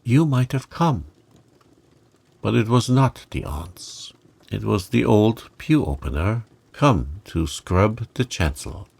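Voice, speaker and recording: A man reads a bedtime story in a gentle, measured manner. His voice sits fairly low and moves very slowly, with some gravel in it. He stays calm as a storyteller. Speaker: audiobook narrator.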